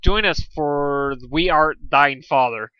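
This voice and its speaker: A man voicing a proud, villainous moon princess pony in a fan fiction story, with pompous, drawn-out archaic speech. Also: on the high side, clear, resonant tone, dry recording, highs cut off, voice pushed up. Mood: grand, tempting.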